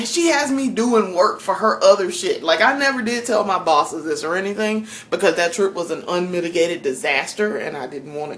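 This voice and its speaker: A woman's voice: medium pitch, a bit bright. A young woman from South Carolina talks in a thick Southern drawl as she cooks in her home kitchen. She chats loosely, with plenty of ums, frequent laughs and easy swearing. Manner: chatty, laughs often, swears freely, lots of ums. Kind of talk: casual vlog chat while cooking.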